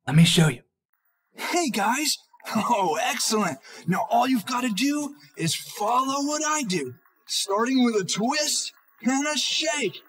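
An excited man in his thirties presenting a children's show with energetic, exclamatory delivery. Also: extremely high pitch, slight background noise.

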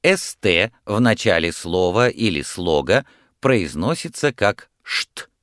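A man with a very low voice reads out language-course instructions in a clear, measured way. His pitch moves a lot from word to word. The studio sound is dry and close. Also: very animated, fairly bright tone, dry recording. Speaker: course narrator giving instructions.

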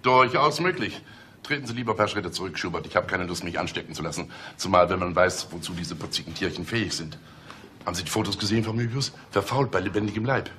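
A man's voice: deep voice, fast talker, sharp tone, slight background noise. A man acting in a German radio play as a smug, teasing middle-aged police chief, condescending and mock-solemn. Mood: mock concern.

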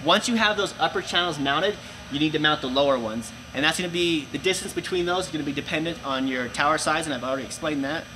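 A young man presenting for a company, giving clear step-by-step instructions in a large indoor room. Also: on the high side, fast, background noise. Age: young adult. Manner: clear step-by-step explaining with hand gestures. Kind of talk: instructional how-to video.

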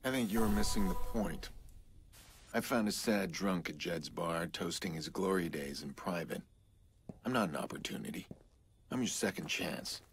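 An actor playing a grim, guarded vigilante hacker in a video game, speaking in a terse, dry deadpan. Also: male voice, sits low, normal speed. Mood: cold, dry. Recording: game dialogue over noisy outdoor ambience.

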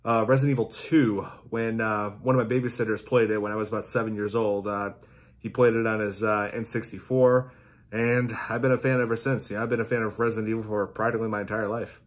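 A man in his thirties chatting casually as a reaction host, informal and halting, often repeating himself. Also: lowish voice, fairly even intonation. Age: 30s. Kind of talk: casual reaction chat to camera. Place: small indoor room at home.

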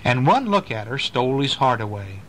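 A man's voice: mid-range voice, moderate pace. Man reading a bedtime story, faint background noise. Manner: expressive, breaking off to explain and ask the child questions. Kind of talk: storytelling read aloud to a small child, with asides. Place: at home, reading to a child. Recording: noisy amateur home recording.

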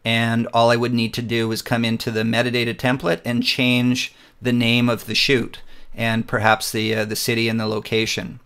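A man narrating a screen-recorded photo software tutorial, walking through each step in a relaxed way with frequent ums and uhs. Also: medium pitch, normal speed, clean tone.

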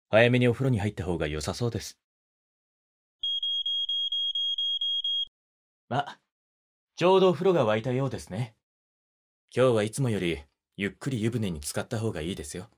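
An actor playing a polite, gentlemanly young wedding photographer who speaks softly and teases the listener in an audio drama. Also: male voice, lowish voice, a little hiss or hum, natural voice. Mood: caring, soft. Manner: soft-spoken, polite, teasing.

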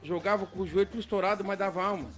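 A man with a southern Brazilian accent and a notably high voice chats casually on a podcast. He tells stories in an animated, slangy way, close to the mic, with faint music in the background. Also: rapid-fire. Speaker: football coach. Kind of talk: casual podcast chat and storytelling. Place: small indoor podcast studio with several people at a table. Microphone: close studio mic on boom arm.

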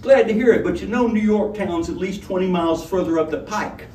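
Man putting on a brash, high-pitched tavern madam. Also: brisk, warm tone, natural voice. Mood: coaxing, flirtatious. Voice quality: harsh, grinding. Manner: rustic colloquial speech, wheedling. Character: brash bellowing tavern madam, flirtatious and coarse. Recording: roomy, distant mic, background noise.

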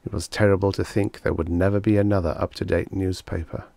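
A man with a fairly deep voice reads fiction as an audiobook narrator. His delivery is measured and expressive. The recording is dry, free of room sound. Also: gravelly, no echo. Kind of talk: scripted story narration.

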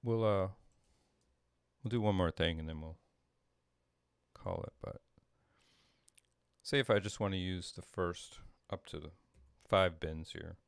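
A middle-aged man giving a casual coding demo as a tutorial presenter, halting and thinking aloud as he works. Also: sits low, creaky, clear, resonant tone, no room sound, studio quality. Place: small home room, indoors.

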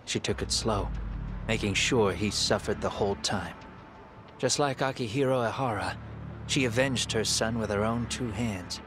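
An actor playing a grave, calculating middle-aged man in a video game drama, speaking in a measured, deliberate way. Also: fairly low pitch, over music, lightly acted. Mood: solemn. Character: composed middle-aged male fugitive avenger, calculating and grave.

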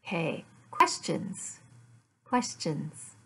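A middle-aged woman, an English teacher, giving a lesson in deliberate, word-by-word phrases with repeated questions and answers. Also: on the low side, very animated, a bit bright, husky. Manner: deliberate, word-by-word enunciation for learners. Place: small furnished room at home.

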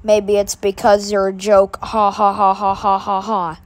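A woman's voice: medium pitch, monotone, unhurried, pitched below the performer's own voice, natural voice. A woman voicing a sneering bully boy who hurls mocking insults and laughs at a child. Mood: taunting. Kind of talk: homemade cartoon skit voice.

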